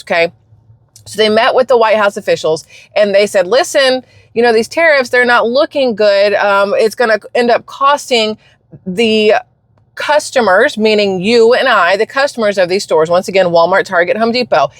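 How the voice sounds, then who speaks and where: A woman from the United States hosting her channel, delivering an emphatic, indignant opinion rant with quick bursts of speech. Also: medium pitch, no echo, clean studio sound. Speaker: channel host.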